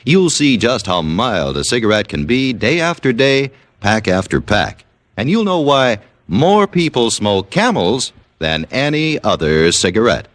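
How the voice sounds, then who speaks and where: Lively American radio ad man, dry sound. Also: mid-range voice, a little slow, dry recording. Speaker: commercial announcer. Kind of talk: 1950s radio cigarette ad read.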